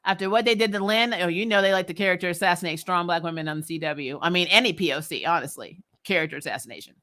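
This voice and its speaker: A woman's voice: lowish voice, fast talker, pushed and bright. A woman hosting a recap livestream in casual chat, blunt and opinionated, with an exasperated, giggly way of talking. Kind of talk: casual chat, reading and answering viewer comments.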